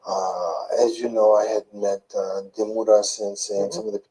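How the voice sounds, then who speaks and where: An older man slowly recalling his past in a soft, murmuring, rambling way, often trailing off. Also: fairly low pitch, level tone, low-quality recording. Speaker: interviewee in played clip. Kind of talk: slow rambling recollection.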